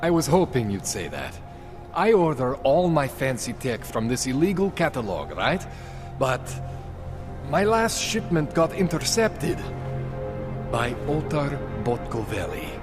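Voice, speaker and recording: Game role: slick, jittery male underground tech. Swooping, animated pitch, wheedling, music behind. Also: unhurried. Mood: coaxing. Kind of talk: video game cutscene acting.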